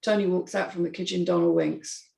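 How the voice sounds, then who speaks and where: A woman's voice: fairly low pitch, fairly even intonation, fairly quick, vocal fry, warm tone, reverberant. A woman narrator reading screenplay stage directions in a scripted style.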